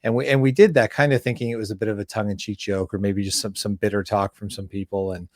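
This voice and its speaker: Low-voiced Canadian man, halting and wordy. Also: steady tone, no echo, clean studio sound. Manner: wordy, halting, self-interrupting. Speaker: interviewer and filmmaker. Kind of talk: casual podcast interview chat.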